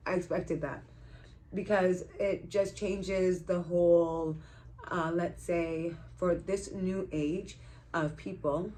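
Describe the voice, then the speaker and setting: A woman from Canada with a fairly low voice talks casually as a vlog co-reviewer. She wanders through her thoughts in a halting, playful way, with slight noise around her. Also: fairly even intonation, mellow, low-quality recording. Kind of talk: casual chatty movie review.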